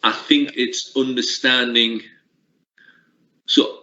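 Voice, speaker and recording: Bright-voiced middle-aged lecturer. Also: male voice, mid-range voice, little pitch movement, takes it slowly. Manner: reflective, uses many restarts and fillers. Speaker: sports coaching lecturer. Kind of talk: relaxed interview answers. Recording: lo-fi roomy recording.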